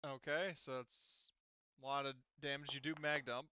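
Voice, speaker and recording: A man's voice: medium pitch, little pitch movement, normal speed, sharp tone, lo-fi sound, slight background noise. A man casually running a tabletop game as game master, thinking aloud and often muttering through the steps to himself.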